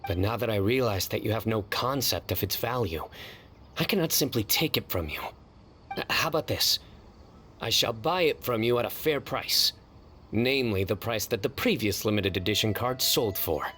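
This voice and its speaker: A man playing a stern, earnest young officer in an anime video game, speaking in a measured, grandly formal way. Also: on the low side, sharp tone, a little hiss or hum. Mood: resolute.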